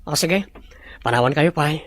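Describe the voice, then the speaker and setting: A man playing a courteous, self-assured gentleman. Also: fairly high pitch, moderate pace, no room sound, natural voice. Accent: Filipino, Ilocano. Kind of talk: Ilocano radio-style drama acting.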